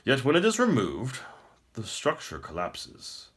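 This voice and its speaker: A man voicing a gloating, smooth-talking wizard emperor in a storybook, theatrical, grandly formal and mockingly courteous. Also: medium pitch, huge pitch swings, a little slow, a bit bright, voice pushed up. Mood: smug, magnanimous. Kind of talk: audiobook character acting.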